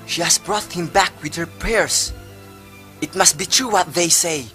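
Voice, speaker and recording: A humble, awed Spanish workman acted by a man on stage. His voice is slightly high and very sharp, with faint music behind. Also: measured pace. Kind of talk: amateur stage play acting.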